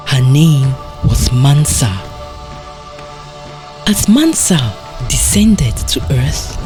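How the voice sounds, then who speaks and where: A storyteller giving dramatic scripted folktale narration in a solemn, portentous manner. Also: mid-range voice.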